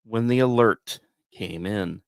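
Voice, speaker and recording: A man hosting a podcast, delivering scripted storytelling narration with dramatic emphasis and deliberate pauses. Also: on the low side, gravelly, no room sound, studio quality. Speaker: podcast host.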